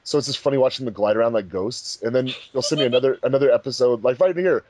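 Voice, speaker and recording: A man's voice: mid-range voice, quick pace, darkish tone, a little hiss or hum. A man chatting casually as a director on a group commentary track.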